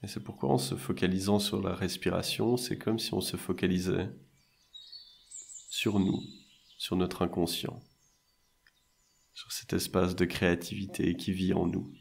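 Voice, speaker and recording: A man guiding a meditation in a soothing, measured way, leaving long pauses between short phrases. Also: low-pitched, steady tone, gravelly, husky. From French-speaking Switzerland. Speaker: meditation and hypnosis guide. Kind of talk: guided meditation narration.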